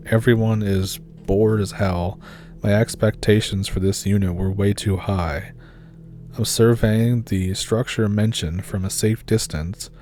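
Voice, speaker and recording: A man with a low, mellow voice reads horror stories aloud in a calm, subdued way. Faint music plays under his narration. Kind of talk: reading submitted scary stories aloud.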